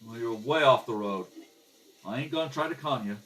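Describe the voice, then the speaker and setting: A man playing a defensive, pleading murder suspect in a radio crime drama, protesting in rough slang. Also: medium pitch, a little fast, a bit bright, pitched above the performer's own voice, natural voice. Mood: agitated, defensive. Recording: slightly distant home microphone.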